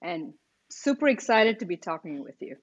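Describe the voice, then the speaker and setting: A woman who grew up in Kuwait, telling her story as a podcast guest in a thoughtful, measured way. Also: fairly low pitch, very animated. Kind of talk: casual podcast interview.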